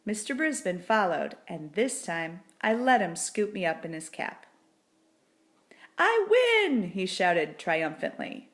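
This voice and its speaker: A woman reading a children's book aloud in a deliberate, expressive storybook narration. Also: lowish voice.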